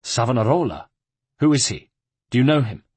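Guy doing an earnest patient adventurer, firm but polite, bit husky, close dry sound, storybook. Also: medium pitch, lively intonation, a little fast, darkish tone, no room sound. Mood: intent, questioning. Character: earnest, patient male Italian adventurer seeking information.

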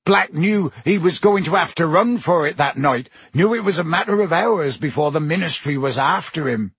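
Guy doing a big gruff gamekeeper, blunt and growly, husky. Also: on the high side, lively intonation, moderate pace, a bit bright, no room sound, voice pushed up, full character voice. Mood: indignant. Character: huge gruff bearded male gamekeeper, hot-tempered.

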